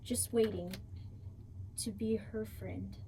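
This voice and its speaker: A woman reading a children's storybook aloud with expressive, deliberate phrasing. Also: medium pitch, a little hiss or hum. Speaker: storybook reader.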